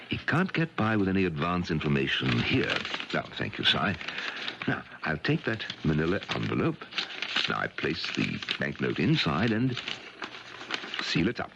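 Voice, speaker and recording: Sir Charles, blustering and wildly animated. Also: male voice, sits low, creaky, heavily acted. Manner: brisk, challenging, commanding. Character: blustering, skeptical wealthy gentleman, Sir Charles. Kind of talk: 1970s radio mystery acting. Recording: dull, noisy vintage radio recording.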